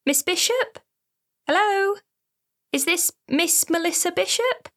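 Woman doing a high polite nurse voice, formal, phone call vibe. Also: animated, slow pace, fairly bright tone, full character voice.

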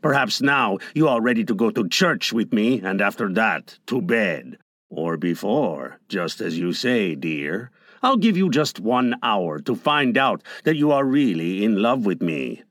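Guy doing a deep sneering spanish captain, slow threats, swings up and down a lot. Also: big pitch swings, fairly bright tone, no echo, clean studio sound, exaggerated voice. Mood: leering. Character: menacing male Spanish captain, gruff, arrogant.

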